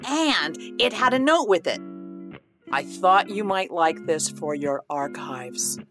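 An actress playing a scheming, imperious playwright in a radio play, with a melodramatic, emphatic delivery. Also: female voice, lowish voice, expressive, slow pace, over music. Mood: melodramatic, alarmed.

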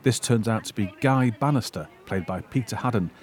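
A man with a fairly low voice narrates from a script. He presents in measured sentences, telling a story to listeners.

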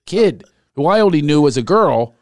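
An American man hosts a casual comedy interview with a very lively, swinging pitch. He tells stories in a joking, conversational way. The sound is dry and close, with hardly any room echo. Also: normal speed. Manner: joking, animated storytelling. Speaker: podcast host.

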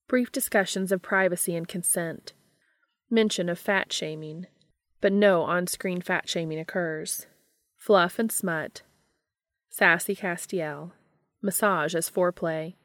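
Female podcast narrator, lower register, scripted storytelling read, some music underneath. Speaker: podcast host and reader. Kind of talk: scripted audiobook-style narration of fan fiction.

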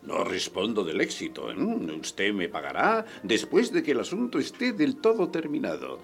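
A man's voice: fairly low pitch, wildly swooping pitch, normal speed, vocal fry, full character voice. An actor playing a sly, pedantic male agent in radio theatre, speaking with hesitant ehs and ahs. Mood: businesslike, sly.

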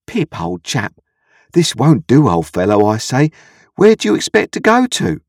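Man voicing a kindly rustic blacksmith, swooping pitch. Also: huge pitch swings, measured pace, no echo, studio quality, heavily acted. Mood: dismayed. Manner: rustic ungrammatical speech, earnest, ruminative. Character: kindly, slow-reasoning uneducated country blacksmith, adult man.

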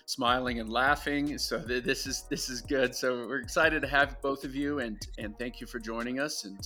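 A man's voice: medium pitch, fairly even intonation, moderate pace, bright, forceful tone, soft background music. A man co-hosting a running podcast in a casual interview, often repeating words as he starts his sentences. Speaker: podcast co-host.

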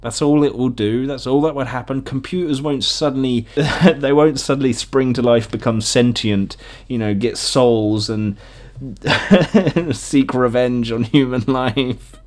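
Male podcast host, informal solo chat, mid-pitched voice, jokey and conversational. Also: measured pace, a little mellow. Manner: jokey, laughs often at own remarks; acts out imagined quotes. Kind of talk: casual chatty podcast monologue.

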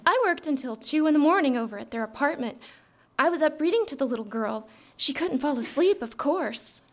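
An actress playing a gossipy, weary 1950s office secretary in a live radio drama on a theater stage. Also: female voice, high voice, expressive, a little fast. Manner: chatty, gossipy.

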